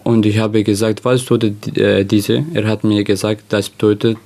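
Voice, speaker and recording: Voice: medium pitch, little pitch movement, normal speed, a little mellow. A young speaker from Syria telling personal anecdotes casually as a youth radio participant.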